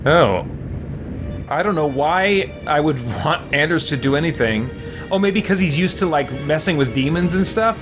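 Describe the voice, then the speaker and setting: A man doing casual video game commentary, thinking aloud in a halting way. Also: fairly high pitch, expressive, over music. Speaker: gamer, let's play host.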